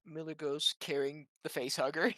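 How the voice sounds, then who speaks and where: A man's voice: fairly high pitch, level tone, moderate pace, fairly bright tone, no echo. A man playing a tabletop roleplaying game, chatting casually as he decides what his character does.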